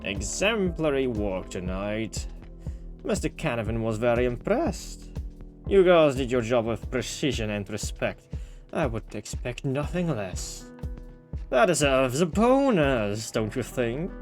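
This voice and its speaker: A man voicing a controlling, coldly polite male boss from a visual novel, speaking formally and deliberately. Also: medium pitch, very animated, faint music behind. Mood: smooth approval.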